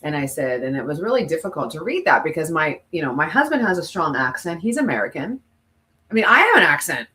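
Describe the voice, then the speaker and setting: A woman's voice: deep voice, big pitch swings, fast talker, pushed and bright. A woman co-hosting a podcast, telling stories emphatically in candid, conversational talk and often quoting herself and others. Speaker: podcast co-host, former TV news presenter.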